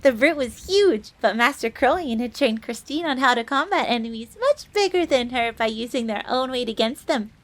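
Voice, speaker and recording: High-voiced, devoted fox maid, played by a woman. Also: expressive, normal speed, few pauses, natural voice. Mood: proud, animated storytelling. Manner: speaks of herself in third person, eagerly deferential. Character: bubbly, devoted fox maid, fierce and proud of it. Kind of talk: dramatic audio roleplay storytelling.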